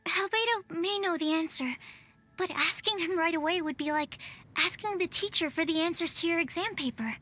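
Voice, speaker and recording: A woman's voice: extremely high pitch, normal speed, sharp tone, slight background noise. A woman voicing a shy, earnest young female alchemist in a video game, hesitant and thinking aloud. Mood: musing. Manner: hesitant, musing, overthinking. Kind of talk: game voice acting.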